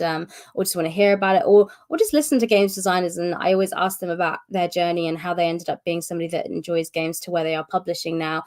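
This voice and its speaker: A woman hosts a casual live-stream update, talking in a loose, rambling way with plenty of fillers and restarts. Her voice is gravelly and a little strained from a recent cough. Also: fairly low pitch, darkish tone. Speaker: host of publisher's live stream.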